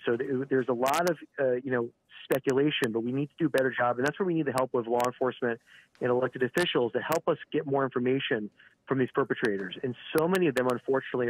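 A man giving a live news interview by phone, halting and repeating words, often saying "you know". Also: medium pitch. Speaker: regional director of an advocacy organisation, interview guest by phone. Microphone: telephone. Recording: phone call with clear background noise.

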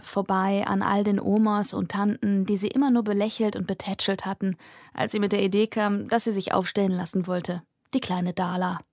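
A woman's voice: medium pitch, darkish tone, dry recording, studio quality. A woman reading a scripted story as an audiobook narrator. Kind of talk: scripted fiction narration, present tense.

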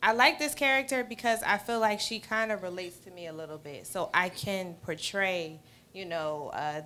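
A woman actress talking through her character in an earnest, easygoing way. Her voice sits a little low, with a faint hiss of background noise. She holds a handheld mic in a wood-walled restaurant. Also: fairly bright tone. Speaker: stage play actress. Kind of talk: interview answers. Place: restaurant with wood-paneled walls.

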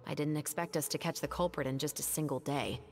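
An actress playing a calm, methodical detective captain who speaks in a measured, serious way. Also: female voice, low-pitched, soft background music. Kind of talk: video game voice acting.

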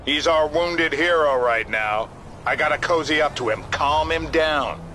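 A man's voice: fairly high pitch, sharp tone, slight background noise. A tense, placating male agent. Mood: persuasive. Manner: measured, placating, reasoning. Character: exasperated, placating, scheming male federal agent.

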